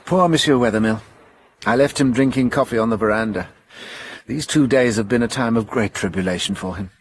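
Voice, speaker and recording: An actor playing a vain, teasing detective in a radio drama, calm, precise and sure of himself. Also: male voice, medium pitch, brisk, slight background noise, close to an everyday voice. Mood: unhurried, confident. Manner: self-assured, theatrical, precise, wryly amused. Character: incomparable, vain, teasing male French detective, calm and commanding.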